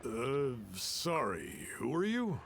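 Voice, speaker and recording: A defensive townsman in an anime game. His voice is medium in pitch with animated swings, wary and quick to take offence. A faint noise runs behind him. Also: creaky, full character voice. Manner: wary, indignant, dismissive. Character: defensive, easily offended ordinary male townsman. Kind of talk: anime-style video game acting.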